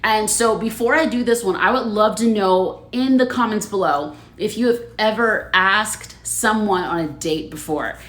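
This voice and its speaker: A woman's voice: medium pitch, moderate pace, hardly any pauses, fairly bright tone, echoey room. A woman hosting a casual livestream, chatting with viewers, laughing often and reading their comments aloud. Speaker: livestream host.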